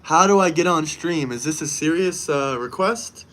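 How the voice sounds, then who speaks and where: A brash man from the United States chats on a live stream with a fairly high voice. He talks emphatically and repeats his points for effect. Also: moderate pace, a bit bright. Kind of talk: casual live-stream chat.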